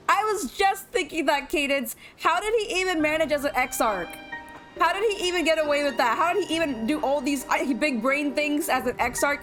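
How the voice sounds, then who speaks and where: A woman streaming a game and chatting excitedly, sounding a bit nasal and repeating herself. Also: high-pitched. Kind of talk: casual gameplay commentary. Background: game music.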